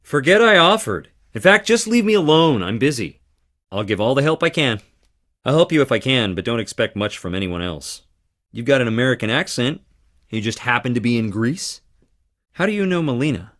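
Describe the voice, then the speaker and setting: An actor playing a charming, theatrical theater performer with dramatic flair in a video game. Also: male voice, medium pitch, very animated, fairly quick, a bit bright. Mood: angry, hurt, then guarded, conversational. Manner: theatrical, dramatic, swinging between playful and intense. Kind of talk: video game character dialogue.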